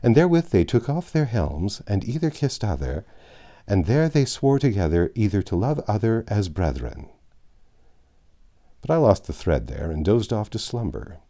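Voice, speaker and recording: A man narrating a classic novel aloud, expressive with wry asides and occasional self-corrections. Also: fairly low pitch.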